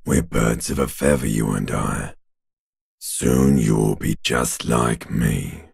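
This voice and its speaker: A man voicing a bloated, bitter talking corpse in a video game, with a gravelly rasping growl and a slow, taunting, insinuating manner. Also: very deep voice, no room sound, clean studio sound, exaggerated voice. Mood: sardonic taunting. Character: bloated talking male corpse, bitter, mocking, world-weary. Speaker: voice actor. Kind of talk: video game character voice lines.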